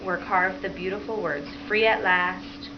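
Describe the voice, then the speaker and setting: A woman's voice: on the low side, steady tone, measured pace. A woman reads aloud to children as a teacher would, asking questions and encouragingly repeating their answers. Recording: dull, lo-fi home recording with clear background noise.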